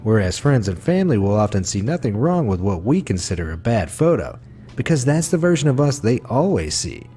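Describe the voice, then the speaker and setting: A man reading a scripted facts video as narrator, with a smooth, wry delivery. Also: medium pitch, big pitch swings, a little fast, dark tone, slight background noise.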